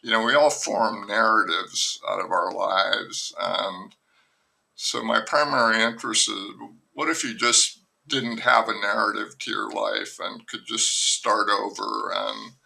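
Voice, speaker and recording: A gravelly older man speaks slowly and thoughtfully, looping back on himself as he recalls things. His voice is low and bright-edged, with a dry, self-deprecating manner. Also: expressive, poor audio. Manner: slow, musing, self-deprecating, with many pauses and restarts. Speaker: novelist guest. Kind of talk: reflective conversational interview.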